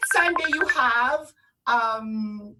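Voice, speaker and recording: A woman with a Filipino accent co-hosting an online radio show, chatting casually and promotionally in an excitable, gushing way. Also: sits high, very slow, distant mic, very noisy. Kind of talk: casual promotional chat between co-hosts.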